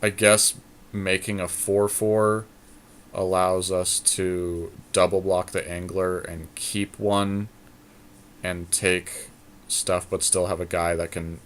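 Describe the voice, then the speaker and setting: Low-voiced man talking strategy over background noise. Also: flat delivery, takes it slowly. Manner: thinking aloud, deliberating. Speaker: podcast host commentating a card game. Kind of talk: casual strategy commentary while playing.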